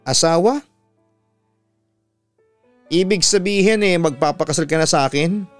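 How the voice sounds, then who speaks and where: Young Filipino boyfriend acted by a male narrator, earnest and pleading, crisp audio-story sound. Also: a little high, a little slow, no echo, faint music behind, natural voice. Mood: delighted. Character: young man, earnest, joking, devoted boyfriend on the phone.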